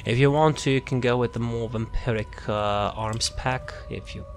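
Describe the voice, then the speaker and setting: A man's voice: mid-range voice, fairly even intonation, normal speed, background noise. A man giving a casual, unscripted video game build walkthrough, rambling and repeating words as he looks for things. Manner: rambling, repeats words and phrases while searching. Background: background music.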